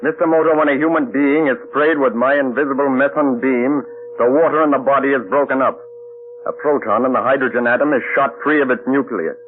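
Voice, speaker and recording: An actor playing a cold, measured male mad scientist who threatens quietly, in an old radio drama heard as a tinny broadcast. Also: mid-range voice, steady tone, slight background noise, close to an everyday voice. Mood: menacing. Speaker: villain inventor. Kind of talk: 1940s radio acting.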